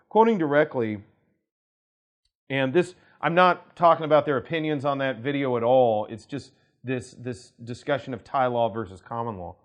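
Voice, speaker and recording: A man, a law firm director, explaining legal concepts to camera in a conversational way, with frequent restarts and asides. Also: mid-range voice. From United States.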